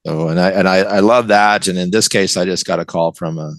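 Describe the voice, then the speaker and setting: A man's voice: low-pitched, fairly even intonation, brisk, dry recording, clean studio sound. An American man from the West Coast, an author chatting casually as an interview guest, telling anecdotes in a conversational way. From West Coast of the United States. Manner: conversational, anecdotal, checks in with "right?" and "you know".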